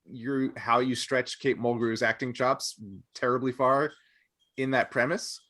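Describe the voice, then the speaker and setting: A man's voice: mid-range voice, flat delivery, a little slow, sharp tone. A man co-hosting a podcast, chatting casually and giving opinionated, nerdy takes with hesitant, wandering phrasing. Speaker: podcast co-host. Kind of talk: casual panel chat about a sci-fi show.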